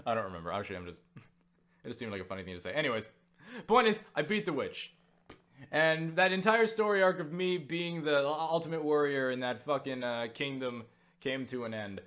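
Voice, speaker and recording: A young man casually recounting a story for viewers, excitable and full of swearing and filler words. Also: mid-range voice, a little fast. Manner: excitable, swears freely, lots of fillers and laughs. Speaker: YouTuber answering viewer questions.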